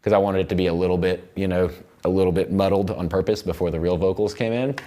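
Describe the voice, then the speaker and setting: An American man in his thirties giving a casual, step-by-step software tutorial as an audio production instructor, informally thinking aloud. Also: deep voice, steady tone, quick pace, soft background music. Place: recording studio control room.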